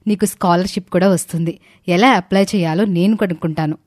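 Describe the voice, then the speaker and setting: A woman voicing a kind, reassuring teacher in a story, with a calm, measured manner. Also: lowish voice, no echo, studio quality, lightly acted. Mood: encouraging.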